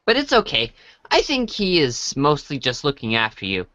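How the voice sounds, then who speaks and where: Bright, eager young boy played by an amateur actor. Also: mid-range voice, huge pitch swings, moderate pace, no room sound, lo-fi sound. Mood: sympathetic. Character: earnest, friendly, excitable young boy. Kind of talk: amateur reader's theater, reading chat messages.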